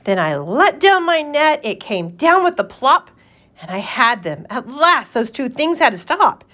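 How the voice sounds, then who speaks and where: Animated, high-voiced woman reading a storybook. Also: unhurried. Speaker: storybook reader. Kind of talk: expressive children's read-aloud narration.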